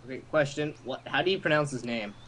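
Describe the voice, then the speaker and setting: A man's voice: mid-range voice, fast talker, fairly bright tone, a little hiss or hum. A young man giving casual, wisecracking commentary while sharing the reading of a visual novel. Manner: wisecracking, interjecting. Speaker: let's-play co-host. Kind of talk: joking commentary and casual read-aloud.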